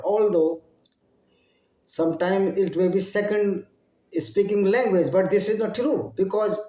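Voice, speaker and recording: A man from India with an Indian English accent and Hindi as his first language, addressing his channel's viewers in an impassioned solo monologue. He speaks halting English, often repeating and rephrasing his phrases. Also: sits high, mellow, reverberant. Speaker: channel host.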